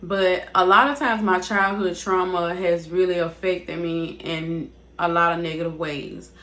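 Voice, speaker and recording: A woman's voice: on the low side, fairly even intonation, a little slow, a bit bright. A young woman in her mid-twenties talks straight to her viewers in a casual, unscripted way. She rambles, circles back and leans on fillers as she goes. Her voice is picked up by a device's built-in mic instead of a proper microphone. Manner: casual, rambling, repeats herself, leans on "basically" and "like". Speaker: vlogger. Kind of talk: candid personal vlog talk. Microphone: device's built-in mic, not her usual microphone.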